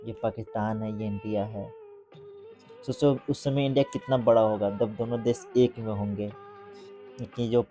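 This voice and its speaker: A man talks in a casual vlogging style. His voice is mellow and relaxed, with faint music behind it. He is informal, and he slows and halts when he reads English aloud. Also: on the low side. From Bihar, India. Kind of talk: casual vlog commentary.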